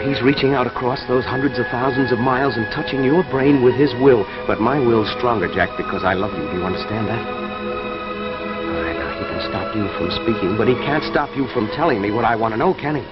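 An American actor in his forties playing an anxious, determined father, urgent and halting, in 1950s live television drama style. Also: medium pitch, natural voice. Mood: intense, insistent. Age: 40s. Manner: urgent, halting, insistent questioning. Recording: dull vintage TV soundtrack, music behind.

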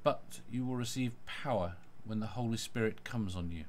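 A man's voice: lowish voice, normal speed, slight background noise. A man reading Bible passages aloud as scripted scripture readings. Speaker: guest reader of Bible passages.

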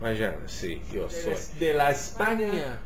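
A man in his thirties, a native English speaker, gives an interview in halting Spanish and English, with many fillers and restarts, gesturing as he talks. He is recorded on a webcam in his home kitchen. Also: fairly high pitch, huge pitch swings, a crowd in the background. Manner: gestures animatedly while talking. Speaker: interviewee, musicology professor. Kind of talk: casual on-camera interview. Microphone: webcam at desk distance.

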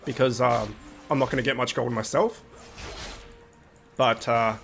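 A young man hosting a casual gaming video, sounding nasal from a cold, chatty and relaxed with lots of fillers. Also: medium pitch, unhurried, creaky, faint music behind. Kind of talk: casual gameplay commentary.

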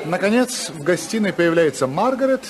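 A man's voice: high-pitched, expressive, normal speed. A man narrating a scripted 1950s radio drama. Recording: 1950s radio, crowd noise behind.

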